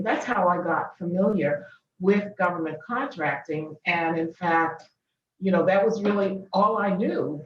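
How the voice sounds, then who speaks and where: An older woman from Boston being interviewed about her career, speaking thoughtfully with many pauses and restarts. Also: on the low side. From Boston, Massachusetts. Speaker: guest, business founder. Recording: distant, dull, echoey room with faint noise.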